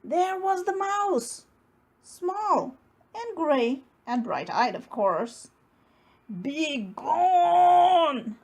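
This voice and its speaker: A woman's voice: extremely high pitch, big pitch swings, very slow. A woman narrating a children's story aloud, expressively, with dramatic emphasis on key words. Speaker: storybook reader.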